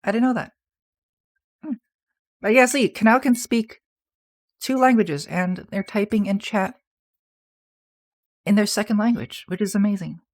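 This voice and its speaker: A man with a soft, feminine-sounding voice, chatting casually on a livestream and answering viewer messages in a rambling, soft-spoken way. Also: high-pitched, a little slow, long pauses. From Canada. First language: English. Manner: soft-spoken, rambling, with restarts and small laughs. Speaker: streamer.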